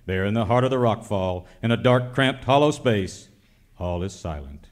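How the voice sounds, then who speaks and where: A man's voice: lowish voice, normal speed, close to an everyday voice. A man playing a melodramatic announcer narrating a mock old-time western radio serial. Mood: portentous. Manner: portentous, dramatic.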